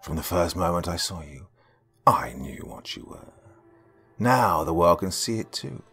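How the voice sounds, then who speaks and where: A man's voice: sits low, sing-song, a little slow, creaky, fairly bright tone, breathy edge, faint music behind, heavily acted. A man voicing a wicked male carnival artist who speaks in a mocking, stern and theatrical way.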